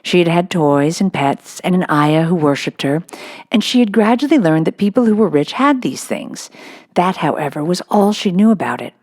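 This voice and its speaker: A woman with a low voice narrates a children's book. Her delivery is scripted storytelling, read aloud for young listeners. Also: very animated, normal speed, a little mellow.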